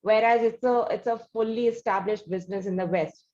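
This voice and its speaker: A woman's voice: a little high, fairly even intonation, fairly quick, a little mellow. Indian woman interviewer in an echoey room. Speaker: interviewer, show host. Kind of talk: conversational interview questioning. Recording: roomy echo, slightly dull audio.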